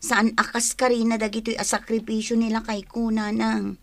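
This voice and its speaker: An aggrieved wife and mother in a radio drama. Her voice sits fairly high and is recorded dry, with a domestic, complaining manner. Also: level tone, moderate pace, creaky, a bit bright, no room sound. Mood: hurt, resentful.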